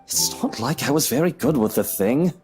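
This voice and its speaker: A man's voice: medium pitch, lively intonation, moderate pace, darkish tone, soft background music, close to an everyday voice. A man playing a haughty, sarcastic, impatient rich husband in a dramatic visual novel, with a petulant and imperious manner. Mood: dismissive. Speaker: game character.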